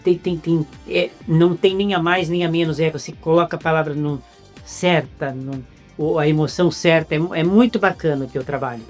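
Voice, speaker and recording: A man's voice: a little high, fairly even intonation, normal speed, soft background music. A man hosting a casual interview, asking chatty follow-up questions and praising his guest.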